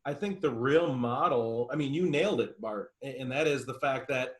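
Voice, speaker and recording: Man, medium pitch, informal discussion, hesitant, agreeable, roundabout phrasing, video call. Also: moderate pace. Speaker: participant commenting.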